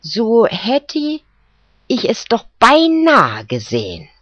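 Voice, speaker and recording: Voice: medium pitch, huge pitch swings, slow pace, a bit bright, no room sound, pitched above the performer's own voice, full character voice. A narrator voicing a scheming male fox who gives false testimony. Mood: sly. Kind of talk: storybook character voice. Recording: dull, dry recording.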